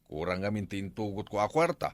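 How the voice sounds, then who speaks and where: A low-voiced village man with an Ilocano accent , played by an actor, bargaining deferentially. His pitch swings widely in radio comedy style, recorded dry. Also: lively intonation, fast talker, dry recording, close to an everyday voice. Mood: haggling. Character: bargaining male villager buying cattle, deferential. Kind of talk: radio comedy drama acting.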